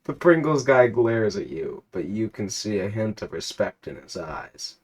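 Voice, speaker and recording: A man giving a mock-dramatic, deadpan reading of a text adventure. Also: fairly low pitch, a little slow, husky. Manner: deadpan narration, prone to laughing fits.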